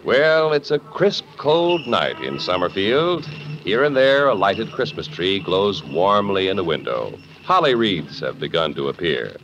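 A man's voice: sits low, very animated, a little slow, fairly bright tone, soft background music. A man announcing and narrating from a script in polished, dramatic 1950s radio style. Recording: muffled, noisy vintage 1950s radio recording.

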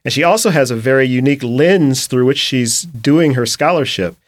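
Calm man hosting a podcast, dry sound. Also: medium pitch, moderate pace, no room sound. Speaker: podcast host.

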